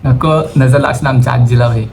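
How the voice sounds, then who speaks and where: A young man with a Maharashtrian accent talks in a playful, casual vlogger style. His speech is slangy and mixes in English words. The audio is clearly noisy. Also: mid-range voice, level tone, a little fast. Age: young adult. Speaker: vlogger making a prank call. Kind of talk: casual teasing chat. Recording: clearly noisy phone-shot vlog audio.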